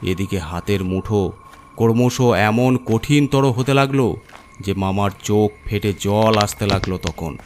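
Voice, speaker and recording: A man with a low voice narrates a scripted ghost story in the role of storyteller. There is clear noise and ambient sound behind him. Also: measured pace, darkish tone.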